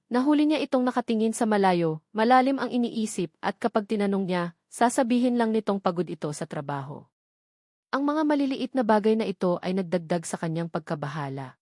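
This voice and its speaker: Woman narrating a dramatic audio story, middle pitch, really dry close recording. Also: darkish tone, no room sound.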